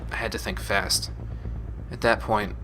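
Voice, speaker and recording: A man playing a battle-scarred, haunted but resolute soldier, gravely narrating a sci-fi audio log in a measured way. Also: on the low side, fairly even intonation, background noise, close to an everyday voice. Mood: haunted, bitter. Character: battle-scarred male soldier recounting a doomed mission, haunted, grimly resolute. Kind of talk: dramatic first-person audio-log narration.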